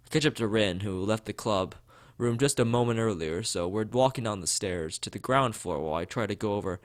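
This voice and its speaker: A man's voice: fairly low pitch, fairly quick. A man hosting a game playthrough, reading visual novel narration aloud in an informal way and sometimes stumbling over lines and rereading them. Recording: music playing behind the voice. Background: plucked guitar game music.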